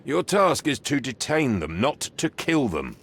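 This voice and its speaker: A veteran police sergeant in a video game, stern and condescending. The voice is full of gravel and moves emphatically up and down as he gives gruff orders. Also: mid-range voice, very animated, a little slow. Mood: stern instruction.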